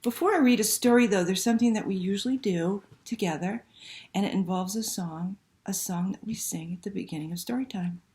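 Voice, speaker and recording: A woman's voice: medium pitch, brisk, darkish tone. A woman hosting a library story time, reading aloud and talking to young children with simple questions and prompts.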